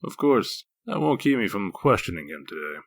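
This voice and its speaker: Man as a deep, gruff wolf detective. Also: moderate pace, no echo, studio quality, full character voice. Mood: brooding. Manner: curt, deadpan, stern. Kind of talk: comic dub character acting.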